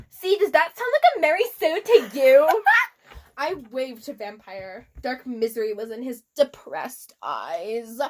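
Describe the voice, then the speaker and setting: A woman's voice: a little high, darkish tone, slight background noise. A young woman giving a casual, giggly, mock-dramatic amateur reading of fan fiction, breaking off for asides.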